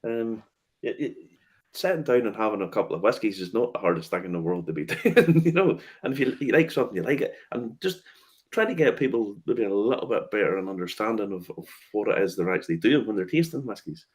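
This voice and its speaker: A man hosting a casual chat about whiskey tastings, relaxed, laughing often and swearing casually as he restarts his sentences. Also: on the low side, quick pace. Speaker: host and whiskey tour guide.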